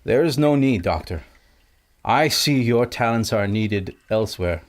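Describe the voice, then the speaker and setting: A man's voice: mid-range voice, animated, measured pace, natural voice. An actor playing a courteous island king in a pulp adventure audio drama, stately and formal.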